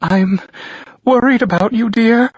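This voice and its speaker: A man voicing a middle-aged mother on the phone, crying and pleading in a choked, high voice, in an audiobook. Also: a little slow, creaky, no echo, full character voice. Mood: tearful pleading. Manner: pleading, insistent. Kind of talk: audiobook character voice. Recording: dull lo-fi audio.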